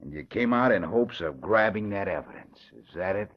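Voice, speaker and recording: Low-voiced smug gangster boss. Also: male voice, animated, moderate pace. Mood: smug, gloating. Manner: brusque tough-guy slang, gloating. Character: smug, bullying male nightclub gangster boss. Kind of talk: 1940s radio acting. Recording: dull old radio recording.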